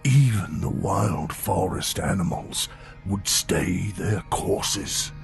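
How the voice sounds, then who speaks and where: Huge gentle snow monster, low creaky voice, extremely slow solemn delivery, storybook drama, music underneath. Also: male voice, exaggerated voice. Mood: reminiscing. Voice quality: gravelly, creaky. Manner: slow, drawn-out, halting delivery. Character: gigantic gentle abominable snowman, slow and solemn.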